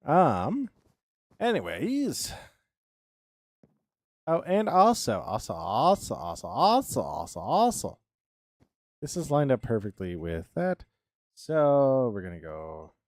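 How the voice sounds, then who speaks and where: A man's voice: mid-range voice, huge pitch swings, slow pace, pauses often, no room sound. A man in his thirties streaming a building game, chatting to himself and his viewers, humming filler syllables and repeating words as he works. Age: 30s. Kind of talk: casual live-stream commentary while building in a game.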